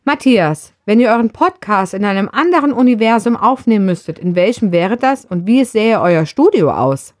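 A woman's voice: medium pitch, expressive, normal speed, few pauses, no room sound. Woman reading scripted questions, dry sound. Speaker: question reader. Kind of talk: scripted questions read aloud.

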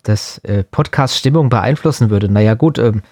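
A man's voice: lowish voice, moderate pace, a little mellow, husky, no room sound, studio quality. A man co-hosting a casual podcast, talking in a spontaneous, jokey way and prone to chuckling. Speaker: podcast co-host. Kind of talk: casual humorous podcast chat.

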